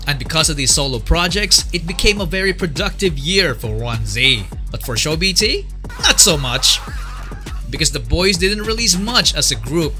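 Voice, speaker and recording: Bright, lively male documentary narrator. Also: on the high side. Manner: conversational, asks the viewer questions.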